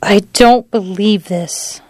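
A man's voice: high-pitched, slow pace, a little mellow, no room sound, studio quality, pitched above the performer's own voice. A man voicing an anxious young woman in an audiobook, shaken but polite and incredulous. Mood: incredulous, distressed. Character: anxious young woman driver from New York.

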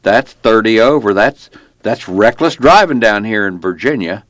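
A man's voice: lowish voice, lively intonation, fairly bright tone, dry recording, pitched above the performer's own voice. Audiobook role: male narrator as a pushy backwoods lawman, awkward and folksy, slurring and tripping over his words, recorded dry. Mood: belligerent. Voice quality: slurred, far from crisp diction. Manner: folksy, halting, stuttering. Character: belligerent, awkward rural Virginia male cop.

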